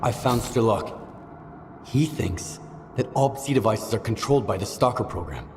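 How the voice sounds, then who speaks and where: An actor playing a serious, determined stalker in a video game, terse and plain-spoken. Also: male voice, lowish voice, animated, moderate pace, darkish tone, natural voice. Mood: serious, probing. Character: serious, determined male stalker questioning others. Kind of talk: video game cutscene acting. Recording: reverberant hall, clear background noise.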